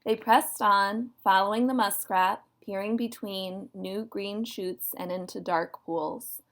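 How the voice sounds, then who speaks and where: A woman narrating a children's story in an expressive read-aloud style. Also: mid-range voice, takes it slowly.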